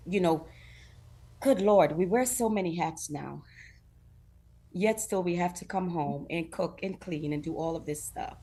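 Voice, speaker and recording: Low-voiced woman from Guyana. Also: dark tone. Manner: earnest, laughs often, acts out other people's lines. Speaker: talk show guest. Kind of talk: casual interview chat.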